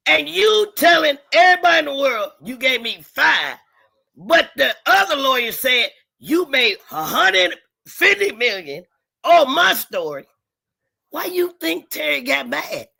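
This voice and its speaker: A woman telling stories in casual, slangy talk, with emphatic points and halting, repeated starts. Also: high voice, gravelly, sharp tone. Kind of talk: casual chat, storytelling.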